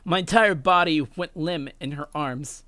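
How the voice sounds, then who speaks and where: A man's voice: a little high, moderate pace, bright, forceful tone, dry recording. A man hosting a let's play, reading a visual novel aloud in a dramatic way and swearing casually.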